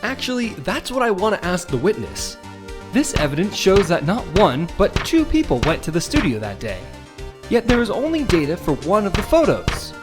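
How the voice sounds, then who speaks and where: Game role: dogged young male lawyer , acted by a man, questioning emphatically with lively pitch over music. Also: moderate pace. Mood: pressing, accusing. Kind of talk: video game fan voice acting.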